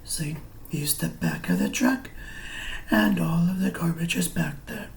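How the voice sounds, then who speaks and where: A man reading a picture book aloud as a teacher, explaining things simply and deliberately for young children. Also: a little high, huge pitch swings, whispered. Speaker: preschool or early grade teacher.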